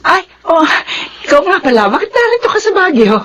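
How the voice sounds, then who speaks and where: A middle-aged widowed mother, earnest and easily defensive. Her emotional delivery swings widely in pitch, in radio drama style. The recording is dull, with clear background noise. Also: sing-song, a little fast, fairly bright tone, exaggerated voice. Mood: shy. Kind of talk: Filipino radio drama acting.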